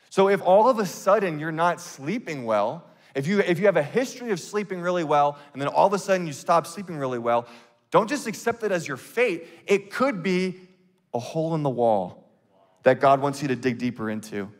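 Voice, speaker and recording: Pastor guy preaching on stage w handheld mic, kinda high voice, restarts a lot, big echoey hall. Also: lively intonation. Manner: earnest, conversational preaching with quick bursts and repeated restarts. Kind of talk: sermon. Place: large church stage.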